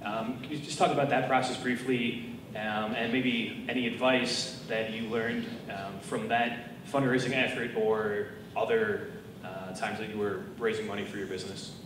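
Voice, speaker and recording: A man hosting an on-stage interview, asking questions in a quick, friendly way. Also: on the low side, fairly even intonation. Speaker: interviewer, event host. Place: event hall. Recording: echoey noisy hall from far off.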